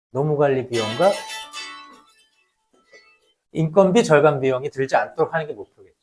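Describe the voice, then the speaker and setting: A man's voice: on the high side, darkish tone. A man giving an explanatory lecture as a researcher, emphatic and repeating his key points.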